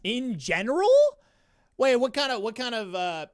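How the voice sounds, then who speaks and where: A man's voice: extremely high pitch, lively intonation, moderate pace, dry recording, clean studio sound. A man in his twenties hosting a livestream watch party, chatting casually in slang and laughing often, with a sniffly voice. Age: 20s.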